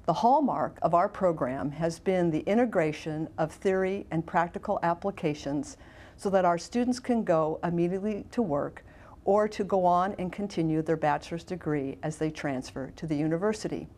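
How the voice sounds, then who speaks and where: A middle-aged woman giving a formal, measured, prepared testimonial as a college administrator. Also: lowish voice, a little mellow. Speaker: college administrator, former criminal justice instructor.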